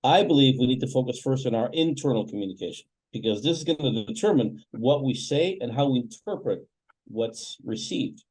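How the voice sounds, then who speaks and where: A man from Canada, a family wealth coach, answering interview questions in a reflective, measured way with many fillers. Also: mid-range voice, expressive, a little mellow, slightly rough, rough recording.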